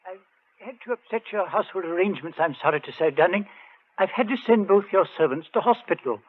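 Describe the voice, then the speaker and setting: An actor playing a gloomy, apologetic family doctor, hesitant yet reassuring, in a 1940s radio drama. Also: male voice, sits high, lively intonation, quick pace. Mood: apologetic, puzzled. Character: long-faced, stooped, apologetic male family doctor. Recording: thin, tinny 1940s radio recording.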